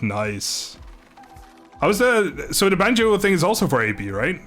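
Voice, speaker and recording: A chatty male streamer talking over music in a casual live gaming session. His pitch is mid-range and swings in a lively way. He jokes easily as he comments and chats. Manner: chatty, joking, laughs often.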